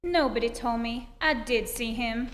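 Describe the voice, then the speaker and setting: A woman's voice: on the high side, measured pace, a little hiss or hum, lightly acted. A woman playing a defensive, lying witness, protesting shrilly in an old-time radio melodrama. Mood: indignant. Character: defensive, indignant female witness who lies for money. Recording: echoey reverberant hall.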